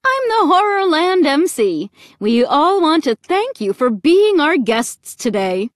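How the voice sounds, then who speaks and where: A woman voicing a cheery female monster game show MC in an audiobook, with bright, showy announcer patter. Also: high voice, sing-song, takes it slowly, dry recording, clean studio sound, voice pushed up, full character voice.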